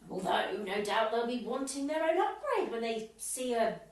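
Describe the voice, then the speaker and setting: A woman's voice: fairly high pitch, lively intonation, normal speed, close to an everyday voice. A woman playing a tart, sarcastic, competitive tennis club member in an amateur comedy radio play. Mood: dry sarcasm. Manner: dry, pointed, sarcastic. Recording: roomy, echoey, distant home recording.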